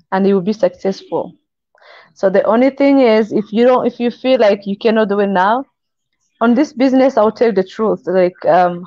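Young woman, English, warm low tone, dry dull call audio. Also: no echo. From Congo. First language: not English. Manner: earnest, encouraging, halting phrasing. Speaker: guest. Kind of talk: video call interview. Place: kitchen indoors. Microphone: video call.

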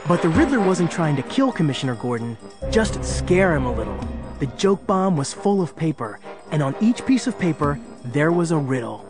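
Higher-voiced eager sidekick narrator. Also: male voice, very animated, moderate pace, music in the background. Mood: earnest storytelling. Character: eager young male sidekick telling case history. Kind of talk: dramatic scripted narration.

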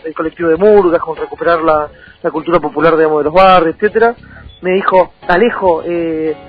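A young man with a high voice and a Rioplatense Argentine accent speaks as an interview guest over a thin phone-like line. He halts, repeats and rephrases as he builds his points. Music plays faintly behind him. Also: fairly even intonation. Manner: halting, repetitive, circling back to rephrase. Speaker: interview guest, local political candidate. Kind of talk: conversational political interview.

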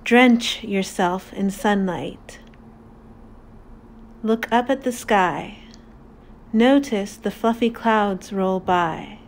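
A woman narrating a guided meditation in a calm, deliberate, soothing manner with long pauses. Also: mid-range voice, slight background noise.

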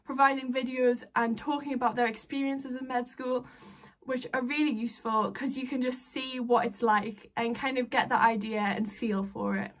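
Young woman with a highish voice. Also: steady tone, normal speed. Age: young adult. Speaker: medical student giving advice. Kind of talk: casual advice to camera. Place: small bedroom, indoors.

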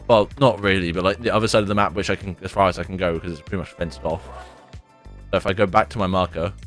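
A young man talks through a video game from his bedroom desk mic, loose and rambling, peppering his talk with "like" and "dude". His voice sits a little low and rough, left over from a recent sore throat. Faint game music plays underneath. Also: fairly bright tone. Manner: chatty, rambling, says "like" and "dude" a lot. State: deeper voice after a sore throat. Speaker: gaming YouTuber. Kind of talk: casual gameplay commentary. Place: bedroom at home, indoors.

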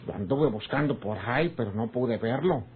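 Casting: man playing rural Mexican foreman, folksy rogue, mid-pitched, in a tinny radio serial. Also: expressive, moderate pace, lightly acted. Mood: cheeky, flirtatious. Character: cheeky, flirtatious Mexican ranch foreman, rough around edges. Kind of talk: old radionovela acting. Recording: tinny, noisy old radio drama recording.

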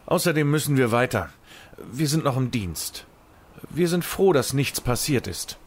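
Trockenen, bestimmten Polizisten. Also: male voice, medium pitch, lively intonation, a little slow, husky. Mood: dry, official. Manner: firm, dry, matter-of-fact. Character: blunt, unimpressed policeman on duty. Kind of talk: German audio drama acting.

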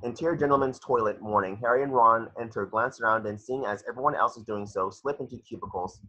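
A man's voice: mid-range voice, fast, pushed and bright. A man reading scripted stage directions as narrator of an amateur table read. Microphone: video call. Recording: muffled video-call audio.

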